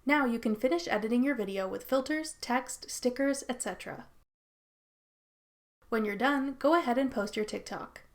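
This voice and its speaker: A woman's voice: on the high side, normal speed. A woman narrating a scripted how-to tutorial as its host.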